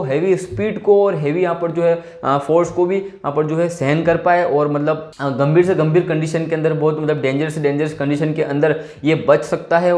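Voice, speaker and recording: Indian guy explaining tech stuff casually, talks kinda quick, bit echoey room, keeps repeating filler phrases. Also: on the high side, a little mellow. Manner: repetitive verbal tags, English technical words mixed in. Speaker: tech explainer video host. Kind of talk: informal explanatory tech talk. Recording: roomy, echoey indoor sound.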